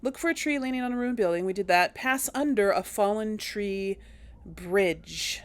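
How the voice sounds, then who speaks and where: A woman's voice: medium pitch, lively intonation, moderate pace, clear, resonant tone. A young woman chatting casually through a video game, thinking aloud and correcting herself as she goes. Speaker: let's play host. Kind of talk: casual gameplay commentary.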